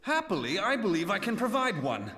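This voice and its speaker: A man's voice: high-pitched, huge pitch swings, a little fast, pushed and bright, full character voice. A man playing a suave, roguish adventurer in a fantasy game, wry and articulate with archaic formal diction. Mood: calm, helpful. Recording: long hall-like reverb.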